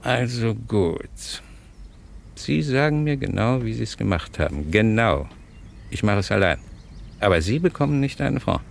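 Gravelly, low-voiced smug blackmailer. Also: male voice, animated, moderate pace. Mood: greedy, demanding. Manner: smug, insistent, coolly taunting. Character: cunning, smug male blackmailer and hotel thief. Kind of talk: 1960s German radio crime drama acting. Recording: noisy old radio drama recording.

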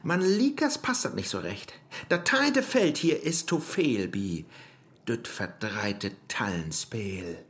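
An actor playing a hesitant, easily startled puzzle-solver in a comic rhymed radio play, with a Low German accent. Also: sing-song, slow, a bit bright. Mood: pondering. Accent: Low German, northern Germany.

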